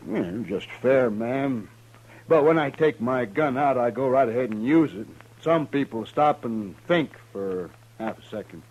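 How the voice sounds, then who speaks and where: An actor playing a rough, jovial drifting gunslinger in a 1950s radio western, with an American Western accent and a folksy, joshing drawl. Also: male voice, mid-range voice, expressive, a little slow, darkish tone. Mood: wry boasting. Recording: dull vintage radio recording.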